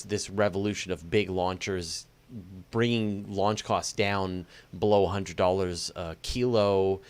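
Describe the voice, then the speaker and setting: A man hosting a casual interview, musing aloud in a jokey way and often rephrasing mid-thought. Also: on the low side. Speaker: interview host.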